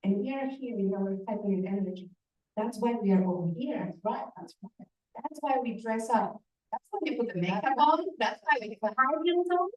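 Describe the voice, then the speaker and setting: A woman's voice: fairly high pitch, animated, normal speed. Woman coach gushing thanks, far off the mic, echoey room, muffled zoom audio. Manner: warm and effusive. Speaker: personal development coach. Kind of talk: grateful acceptance speech. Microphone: video call. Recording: echoey distant lo-fi call audio.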